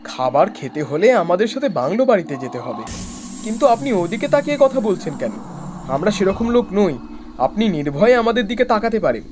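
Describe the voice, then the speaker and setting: A man playing an excitable, chatty young traveller in a horror cartoon. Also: high-pitched, a little fast, noisy background. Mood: earnest.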